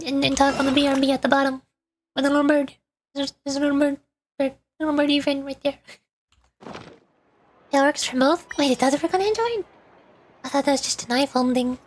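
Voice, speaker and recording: A woman's voice: high voice, a little hiss or hum. A woman VTuber chatting casually to livestream viewers in a rambling, playful way, often repeating words. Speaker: VTuber streamer. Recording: background music. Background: music.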